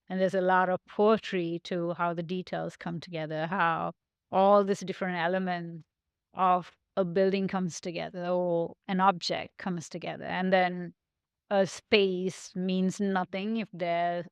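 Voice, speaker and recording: Guest interviewee, woman from Sri Lanka, speaking reflectively in a smooth, dry-sounding voice. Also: lowish voice, no room sound. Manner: thoughtful, reflective, with frequent "like" fillers. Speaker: guest, studio founder. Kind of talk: casual interview talk. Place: her design studio.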